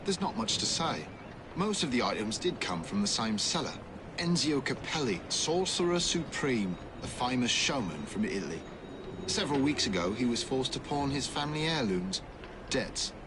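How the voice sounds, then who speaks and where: A man's voice: mid-range voice, sing-song, moderate pace, close to an everyday voice. A man playing a terse, helpful pawnshop keeper in a video game, talking in clipped, matter-of-fact phrases. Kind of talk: game cutscene dialogue. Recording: clear street noise behind.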